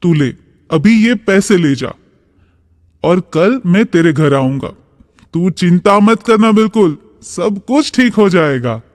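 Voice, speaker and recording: Man as generous friend, reassuring, high male voice, slow pace, cartoon dialogue, reverb. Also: expressive. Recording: long reverb.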